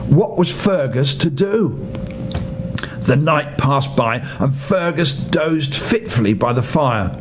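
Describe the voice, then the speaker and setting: An older man reading a bedtime story to children, playful and expressive, speaking to his young listeners. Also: a little high, slow, music in the background.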